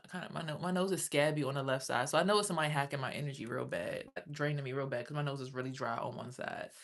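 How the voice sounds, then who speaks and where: Raspy low-voiced woman streaming live. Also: rapid-fire. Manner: rambling, spiritual talk, swearing freely. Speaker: livestreamer. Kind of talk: casual livestream chat.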